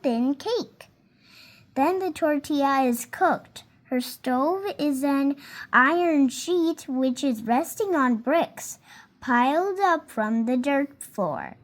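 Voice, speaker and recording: A young reader slowly and carefully reading a picture book aloud, now and then stumbling on a word and fixing it. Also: female voice, high voice. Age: child. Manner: slow careful reading with small slips and self-corrections.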